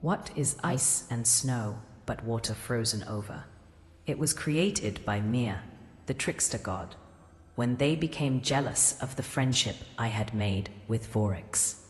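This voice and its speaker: A woman's voice: a bass voice, measured pace. A woman playing an ancient goddess in a mortal body, grave, formal and portentous, in a fantasy audio drama. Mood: grave, bitter. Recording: long echoing reverb with music.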